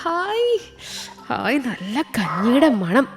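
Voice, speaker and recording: A woman's voice: fairly high pitch, huge pitch swings, extremely slow, faint music behind, heavily acted. A woman voicing a lazy, greedy female housefly in a children's cartoon story, whining and stubborn. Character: lazy, greedy female housefly who shirks all work.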